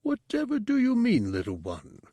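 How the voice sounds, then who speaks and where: A man voicing an ancient disembodied monk's brain, a deep, formal, serene teacher with a bemused manner. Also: wildly swooping pitch, normal speed, mellow, no echo, full character voice. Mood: amused.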